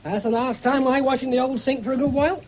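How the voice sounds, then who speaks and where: Cocky young farm son, jokey and breezy, old radio drama, bit of hiss. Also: male voice, extremely high pitch, steady tone, fast talker, darkish tone. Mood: cheeky, breezy. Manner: bantering, breezy. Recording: 1970s radio broadcast with background noise.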